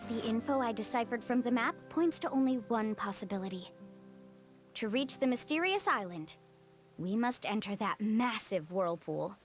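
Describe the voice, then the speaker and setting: A woman as a high-voiced, confident young guide. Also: animated, moderate pace, faint music behind, natural voice. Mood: resolute. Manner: firm, assured. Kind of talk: video game character acting.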